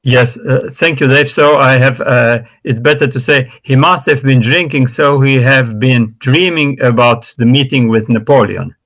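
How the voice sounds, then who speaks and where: Adult male learner, first language Romanian, halting and searching for words on a video call. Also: medium pitch, a bit bright. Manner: hesitant, many restarts and fillers. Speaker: student. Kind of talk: practicing English in a lesson.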